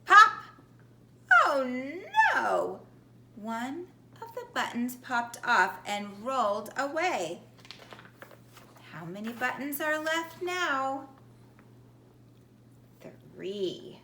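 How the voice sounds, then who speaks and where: A woman reads a children's storybook aloud at a very slow, deliberate pace. Her high voice moves up and down expressively, as a teacher would for young listeners. The room around her is echoey. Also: big pitch swings, pushed and bright. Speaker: teacher reading a children's picture book aloud. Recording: echoey room with faint background noise.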